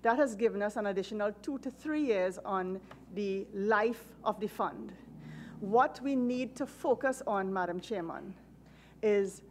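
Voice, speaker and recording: A woman's voice: fairly high pitch, slight background noise. A woman, a national insurance official, giving formal testimony to a committee and stressing her key points. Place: parliamentary committee room.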